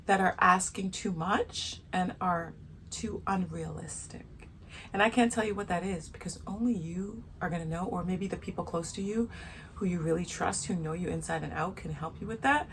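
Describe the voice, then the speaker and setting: A woman with a soft voice giving her frank opinions in a casual vlog, talking outdoors in the sun and repeating her points for emphasis. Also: mid-range voice, slight background noise. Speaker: vlogger.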